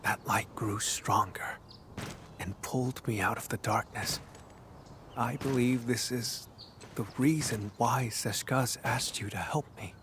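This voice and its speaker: An actor playing a brooding, solemn male warrior in a video game, earnest and devoted, speaking in measured, formal, hushed and intimate phrases. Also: mid-range voice, background noise, lightly acted. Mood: hushed, reflective. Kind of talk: video game story dialogue acting.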